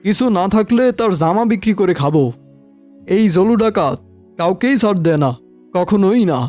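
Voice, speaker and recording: A man plays a self-important cartoon bandit boss with a very high-pitched voice for a male. He is theatrical and bullying, yet soft-hearted underneath, and music plays behind him. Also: lively intonation, normal speed. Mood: impatient, boastful. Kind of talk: animated cartoon voice acting.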